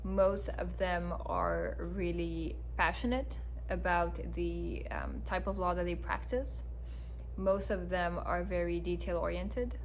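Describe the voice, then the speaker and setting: A woman's voice: lowish voice, fairly even intonation, slow, creaky, slight background noise. A woman in her twenties, a native French speaker, answering viewers' questions in a casual to-camera chat, earnest with frequent ums and restarts. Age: 20s.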